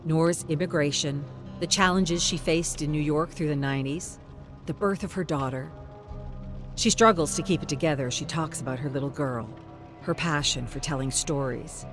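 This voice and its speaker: A woman's voice: low voice, normal speed, faint music behind. A woman reading scripted, dramatic story narration.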